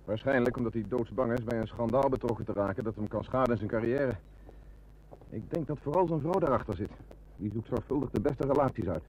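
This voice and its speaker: An actor playing an earnest police detective, assistant to an inspector, in a radio drama. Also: male voice, medium pitch, fast, darkish tone, muffled recording, close to an everyday voice. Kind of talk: Dutch radio drama acting. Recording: slightly noisy old radio recording.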